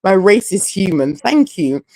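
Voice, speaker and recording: A woman's voice: mid-range voice, very animated, unhurried, a little mellow, dry recording. A woman from Canada hosting a casual live stream, reading out viewer comments and giving her opinions candidly.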